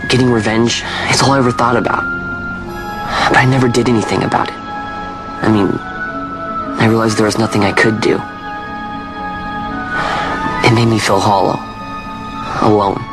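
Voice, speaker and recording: Young guy doing an earnest orphan kid turned adventurer, plain casual talk, lowish voice, game music behind. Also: level tone, normal speed, pauses often, close to an everyday voice. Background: orchestral score.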